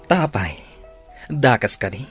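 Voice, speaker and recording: A man's voice: mid-range voice, expressive, slow pace, a bit bright, faint music behind. An actor playing a controlling, stingy husband and father in a radio drama, with an Ilocano accent and an insistent manner. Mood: angry, commanding. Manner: bossy, insistent.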